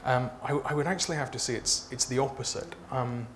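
A man in his thirties speaking haltingly as an author being interviewed on stage. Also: medium pitch. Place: small dark stage before a seated audience. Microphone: lapel mic. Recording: noisy live event room recording.

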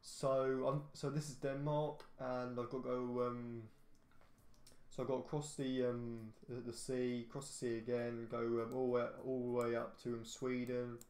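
Man, rambling casual commentary, flat pitch, roomy echo. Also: normal speed. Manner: rambling, hesitant, lots of fillers and restarts. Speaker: gaming YouTuber. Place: echoey bedroom.

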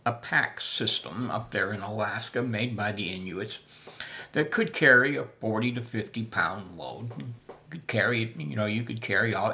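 An older man talking through the history of a piece of camping gear in a folksy, unhurried way, with many filler sounds. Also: fairly low pitch, sharp tone. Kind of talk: casual spoken history lesson. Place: small room indoors.

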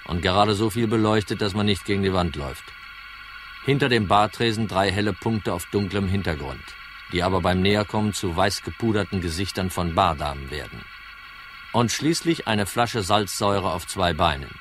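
Tough, wry insurance detective played by a man, deep, terse, sardonic, noir radio play with faint music. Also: moderate pace, lightly acted. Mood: dry, sardonic. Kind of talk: hardboiled radio play narration and dialogue.

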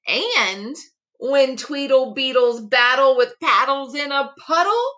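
A middle-aged woman teacher reading a rhyming picture book aloud expressively, articulating tongue twisters with deliberate care. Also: on the high side, pushed and bright. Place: small room at home, indoors.

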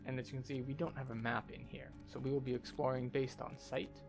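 A man's voice: mid-range voice, little pitch movement, a little fast, gravelly, music in the background. A man giving commentary as host of a video game playthrough. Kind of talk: video game commentary and dialogue read-aloud.

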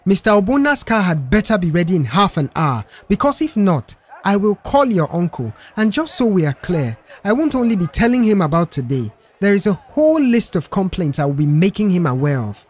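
A man's voice: high voice, very animated, a little fast, few pauses, a little mellow, slightly rough, voice pushed up, full character voice. A man voicing a stern, threatening garage supervisor in an audio story, scolding as he speaks. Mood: stern warning.

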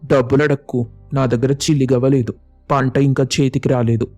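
A man's voice: mid-range voice, little pitch movement, moderate pace, warm tone, soft background music, close to an everyday voice. A man playing a stingy, irritable village farmer in a moral story, complaining and scornful. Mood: irritated, scornful. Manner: scornful, complaining, rhetorical questions. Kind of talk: animated storybook dialogue.

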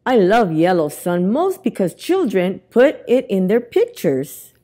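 A middle-aged woman reading a children's picture book aloud at storytime, expressive and deliberate, as if to young children. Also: mid-range voice. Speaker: library storytime reader. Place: indoors in a library, among bookshelves. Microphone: lapel mic.

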